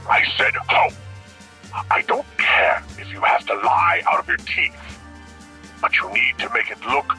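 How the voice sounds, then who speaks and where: An actor playing a pompous, bossy president on a telephone call in an audio drama, gravelly and forceful, sometimes fumbling his words. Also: medium pitch, lively intonation, unhurried, faint music behind, heavily acted. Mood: irritated, blustering.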